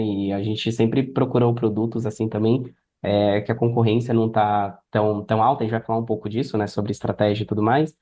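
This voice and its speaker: A man's voice: mid-range voice, dark tone. A man with a Brazilian accent, talking casually as a guest on a podcast. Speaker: podcast guest, affiliate marketer.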